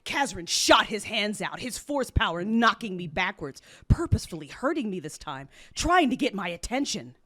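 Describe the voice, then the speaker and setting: A woman's voice: mid-range voice, very animated, normal speed, few pauses, no room sound, clean studio sound. A woman playing an anguished, rage-consumed Jedi warrior, narrating an audio drama in the first person with intense emotion. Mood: furious. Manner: intense, emotive, shifting from hushed to roaring.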